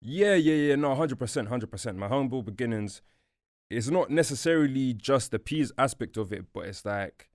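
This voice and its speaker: A young man from Portugal whose first language is Portuguese, a podcast guest chatting casually in relaxed slang, saying "bro" and "you feel me" a lot. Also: mid-range voice, moderate pace, dry recording, studio quality. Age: young adult. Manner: laid-back street slang, fillers like "you feel me", "bro". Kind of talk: casual interview chat. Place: podcast studio.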